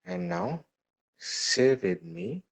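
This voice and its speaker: A man's voice: lowish voice, sing-song, very slow. A man leading a guided meditation, giving simple instructions and repeated affirmations as a meditation guide. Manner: instructing, repeating set phrases. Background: music.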